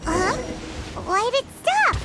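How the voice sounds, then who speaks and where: Brief: an excitable fairy game sidekick, childlike voice way up high, over game music. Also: female voice, exaggerated voice. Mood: curious. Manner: excitable, quick to exclaim. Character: tiny floating fairy companion, chirpy and excitable. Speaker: video game companion character.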